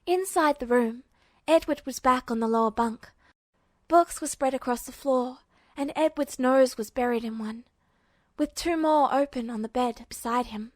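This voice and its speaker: A woman's voice: high-pitched, normal speed, no echo, studio quality. A woman reading scripted fiction as a narrator.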